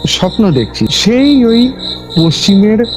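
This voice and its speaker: A man tells a personal story conversationally, haltingly, often repeating his phrases. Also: high-pitched, sing-song, a little mellow, poor audio. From West Bengal, India. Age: 20s. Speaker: guest recounting a personal ghost experience. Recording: music bed with cricket and insect sounds.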